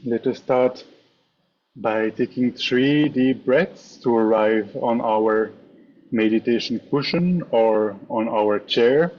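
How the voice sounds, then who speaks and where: A man leading a guided meditation as a teacher, speaking in measured, instructive short phrases with pauses. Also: medium pitch, muffled recording, a little hiss or hum. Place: online meditation session over video call.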